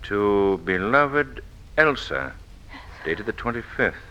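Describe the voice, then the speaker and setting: An actor playing a suave, inquisitive gentleman detective in a polished 1940s radio drama manner. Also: fairly low pitch, expressive, slow, dull audio, exaggerated voice. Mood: curious. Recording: noisy old radio recording.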